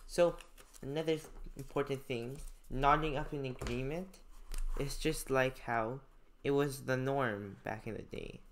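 Slow-talking young man reading aloud. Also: medium pitch, slight background noise. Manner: haltingly stumbles over unfamiliar names. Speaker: student reading a novel aloud and taking notes. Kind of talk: read-aloud with informal note-taking commentary.

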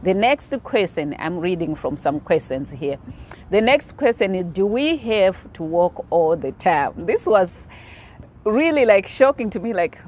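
A middle-aged Zimbabwean woman talks straight to the camera from an outdoor patio, explaining things earnestly and filling her pauses with "you know" and "um." Her voice sits fairly low, and faint outdoor ambience hums behind her. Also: very animated, darkish tone, slight background noise. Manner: earnest, explaining with many fillers. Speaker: vlogger. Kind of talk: casual talk to camera.

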